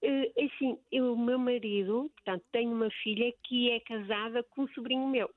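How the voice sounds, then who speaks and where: An older woman with a European Portuguese accent calls in over a tinny phone line. She talks haltingly, with restarts and emotion, in a slightly high voice. The line is dry and close. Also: steady tone, no echo. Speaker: caller. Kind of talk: phone-in chat.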